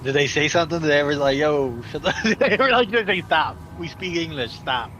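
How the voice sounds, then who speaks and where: A man's voice: fairly high pitch, animated, quick pace, a bit bright, highs cut off. A young man streaming outdoors, chatting in a teasing, joking way, laughing often and restarting his words. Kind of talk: joking casual chat. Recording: noisy outdoor street recording.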